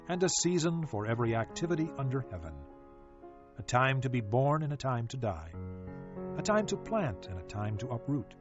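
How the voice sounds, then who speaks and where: A man narrating a dramatized scripture audiobook in a solemn, measured manner, with deliberate pauses. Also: mid-range voice. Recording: slightly dull audio with music behind. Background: music.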